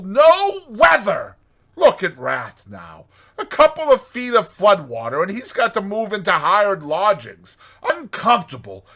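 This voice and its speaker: A man's voice: high voice, a bit bright, voice pushed up, exaggerated voice. A man voicing a kindly, opinionated badger in a dramatized storybook reading, with an emphatic, declaiming manner. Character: hearty, kindly, opinionated badger, proud of his burrow.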